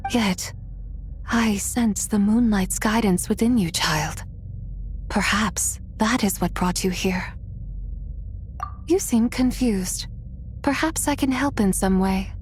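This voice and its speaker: A woman playing a gentle, lonely moon spirit in a fantasy game, dreamy and hesitant, pausing between phrases. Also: medium pitch, lightly acted. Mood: gentle, musing. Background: soft background music.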